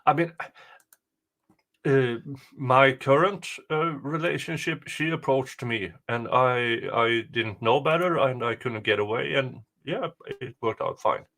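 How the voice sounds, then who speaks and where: A man reading aloud casually as a co-host, dropping in deadpan, hesitant asides. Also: mid-range voice, measured pace. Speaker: co-host reading and reacting to a document.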